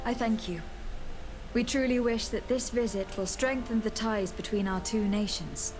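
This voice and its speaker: A woman's voice: fairly high pitch, moderate pace, lightly acted. An actress playing a courteous young noblewoman on a diplomatic errand in a video game, formal and composed. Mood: formal, earnest. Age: young adult. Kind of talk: video game dialogue acting. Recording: game dialogue under music.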